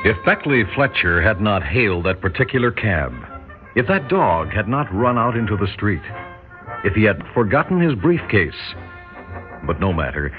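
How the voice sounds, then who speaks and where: An ominous, ironic storyteller delivers portentous radio narration. His low voice swings expressively over loud music, through a tinny vintage recording. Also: sing-song, moderate pace. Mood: ominous irony. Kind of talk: dramatic old radio narration.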